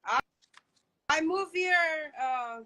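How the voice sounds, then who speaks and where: A woman in her forties from Africa, a guest on a dating show, friendly and quick to laugh. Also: high voice, expressive, sharp tone, low-quality recording. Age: 40s. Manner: friendly, laughs easily, says girl and child. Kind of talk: casual interview.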